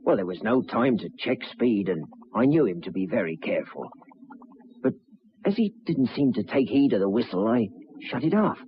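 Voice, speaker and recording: Humble, shaken train driver, highly expressive. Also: male voice, normal speed, breathy edge, exaggerated voice. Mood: shaken, explaining. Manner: hesitant, deferential, plain-spoken. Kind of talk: old-time radio drama acting. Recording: dull, faintly noisy vintage radio.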